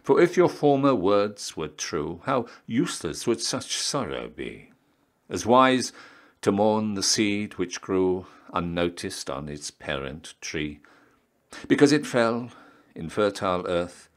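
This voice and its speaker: A man with a low-pitched voice gives a slow, dramatic poetry recitation. His phrasing is solemn and theatrical, and the ends of his lines often sink into a hush. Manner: measured, solemn, theatrical phrasing with hushed line endings. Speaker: poetry reader, town crier.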